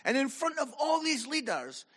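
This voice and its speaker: A man preaching in church with a high, bright-sounding voice. His pitch leaps up and down as he tells a comic story. He gets loud on the punchlines and acts out the people he quotes. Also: big pitch swings, normal speed. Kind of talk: humorous sermon storytelling.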